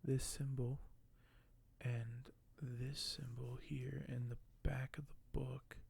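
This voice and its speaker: A man speaks softly as an ASMR host, often slipping into a breathy whisper. His voice is mellow with some creak in it, and his pitch barely moves. He takes his time, hesitant and a little apologetic. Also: flat delivery. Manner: slow, hushed, hesitant, apologetic. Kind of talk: ASMR unboxing, soft-spoken.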